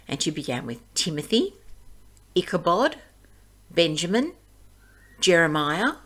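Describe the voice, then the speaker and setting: A woman reading a fairy tale aloud as storyteller, in expressive scripted narration. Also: lowish voice.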